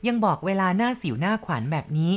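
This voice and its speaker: A woman's voice: fairly low pitch, animated, fast, lightly acted. A woman voicing a thin, irritable old male shaman in a storybook tale, speaking in stern commands.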